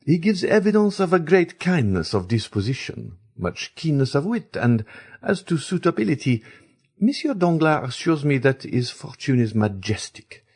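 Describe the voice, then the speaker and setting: A man doing a worldly, plain-spoken baroness in a high, lilting register that swoops up and down. The voice is breathy and slightly husky underneath, as the audiobook narrator puts her on. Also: very animated, normal speed, darkish tone, exaggerated voice. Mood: chatty. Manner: lilting raised falsetto. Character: worldly middle-aged French baroness, frank. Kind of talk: audiobook character reading.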